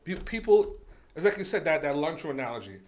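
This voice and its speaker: A man from New York on a podcast, telling stories in a casual, halting way, with many restarts. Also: on the high side, very animated. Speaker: podcast co-host.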